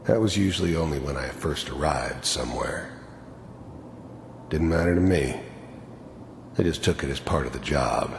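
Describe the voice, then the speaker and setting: An actor playing a world-weary, hardened mercenary in a video game, gravelly and brooding, haunted by his past. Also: roomy sound, slight background noise. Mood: cold, resigned. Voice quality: deep, gravelly. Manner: brooding, bitter, halting. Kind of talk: reflective voice-over and dramatic dialogue.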